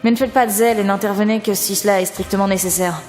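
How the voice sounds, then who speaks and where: A woman's voice: mid-range voice, steady tone, quick pace, a little mellow, lightly acted. A woman playing a stern, foul-mouthed government lab boss in an audio drama, curt and commanding. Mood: authoritative, businesslike. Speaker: lab director. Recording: long hall reverb, music underneath. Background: music.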